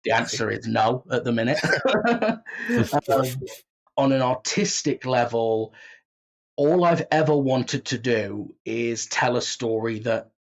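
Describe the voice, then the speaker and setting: A man's voice: medium pitch, expressive, slow pace, gravelly. A man in a casual interview, self-deprecating and prone to acting out his own thoughts. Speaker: audio drama writer and creator, interview guest. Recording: slightly dull, muffled sound.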